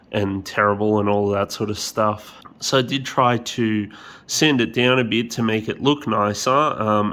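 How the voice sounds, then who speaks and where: Guy with a cold, nasal and lowish, rambling thru a repair, lots of ums. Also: fairly bright tone. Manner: rambling, many ums, casual swearing. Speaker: hobbyist repair vlogger. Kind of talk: casual hands-on tutorial.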